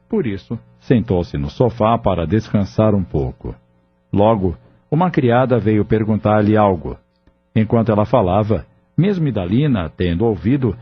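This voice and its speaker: Low-voiced man narrating an audio novel. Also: breathy edge. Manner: measured, solemn storytelling. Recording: dull lo-fi audio with faint music.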